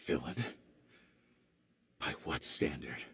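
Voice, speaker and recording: A man's voice: extremely low pitch, sing-song, takes it slowly, creaky, pushed and bright, full character voice. A man voicing a bitter, defiant criminal in a video game, with a sneering, theatrical, volatile delivery. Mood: sneering, defensive. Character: bitter, defiant male criminal who loves his mother.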